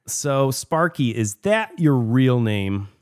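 A younger man hosting a podcast, reading a clear scripted intro and then asking easy questions. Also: mid-range voice, animated, slow pace, darkish tone. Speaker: podcast host. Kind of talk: podcast interview.

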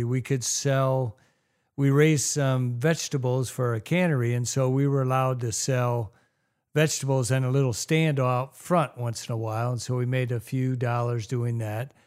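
Older man, plain-spoken and unhurried. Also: mid-range voice. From Ohio, USA. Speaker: guest. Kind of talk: casual interview chat.

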